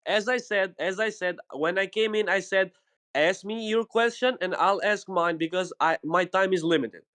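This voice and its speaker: A man whose first language is Albanian, speaking in a casual online voice-chat debate, halting and repeating himself as he asks for his turn. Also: high voice, fairly bright tone. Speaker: debate participant. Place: voice chat call.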